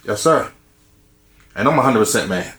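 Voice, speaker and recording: A man's voice: on the low side, animated, moderate pace, crowd noise. A man in his fifties in a candid group discussion, speaking frankly and personally. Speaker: discussion participant. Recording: roomy echo.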